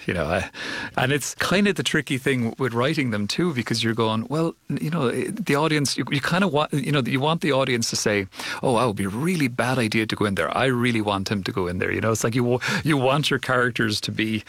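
A man's voice: medium pitch, expressive, fast, fairly bright tone, dry recording. A man from Cork with an Irish accent, a filmmaker answering interview questions thoughtfully, often repeating words and restarting his sentences. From Ireland (Cork). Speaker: filmmaker guest.

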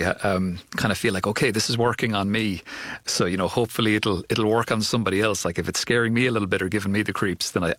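A man's voice: lowish voice, fairly bright tone, no echo. A man from Cork with an Irish accent, a filmmaker answering interview questions thoughtfully, often repeating words and restarting his sentences. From Ireland (Cork). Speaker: filmmaker guest.

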